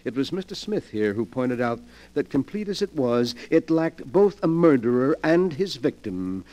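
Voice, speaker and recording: An actor playing a sly, morbid invalid gentleman who gloats with effusive politeness, in 1940s radio mystery style. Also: mid-range voice, big pitch swings, normal speed, lightly acted. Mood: unctuous, pleased. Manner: effusively polite, theatrical, relishing his words. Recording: vintage 1940s radio broadcast.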